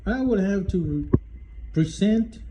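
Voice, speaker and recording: A man voicing a kindly, worried old grandfather in a storybook, speaking slowly and deliberately. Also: high voice, expressive, noisy background. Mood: discouraged.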